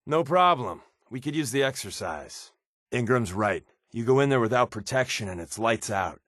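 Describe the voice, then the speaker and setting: A man voicing a wry, secretive spy companion in a video game, with casual, sardonic, deadpan quips. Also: mid-range voice, normal speed, no room sound, clean studio sound. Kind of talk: game companion dialogue lines.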